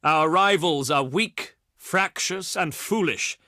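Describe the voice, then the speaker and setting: An actor playing a proud, eloquent ancient Greek diplomat in a strategy game, declaiming ornate phrases in a pompous, theatrical manner. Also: male voice, on the high side, slow pace, sharp tone, no room sound, clean studio sound. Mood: persuasive.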